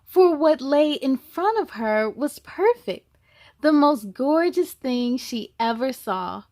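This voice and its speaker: A woman hosting a children's story time, reading a picture book aloud expressively, with animated exclamations, musing asides and questions to the listeners. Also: sits high, slow pace, no room sound.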